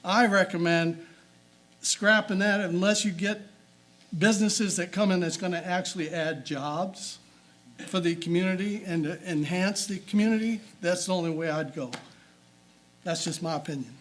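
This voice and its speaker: A man's voice: high-pitched, normal speed, a bit bright, slight background noise. A middle-aged man giving a blunt, plain-spoken public comment at a county council meeting.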